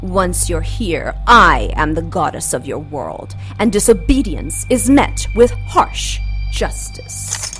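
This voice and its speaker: An actress playing a merciless, coldly seductive demon goddess in an audio drama, commanding and deliberate. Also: female voice, on the low side, very animated, fairly bright tone, faint music behind, exaggerated voice. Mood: cold, menacing.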